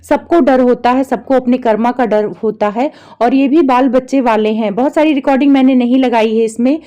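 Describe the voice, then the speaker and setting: A woman's voice: fairly high pitch, brisk, dark tone, muffled recording. A woman vlogger giving gossip commentary, speaking directly to her viewers. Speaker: reaction vlogger presenting recordings.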